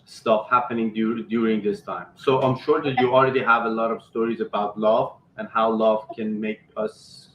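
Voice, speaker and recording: A man's voice: on the low side, little pitch movement, normal speed, hardly any pauses, echoey room, muffled recording, slight background noise. A man hosting a casual interview over a remote call, musing in a rambling, reflective way. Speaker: show host.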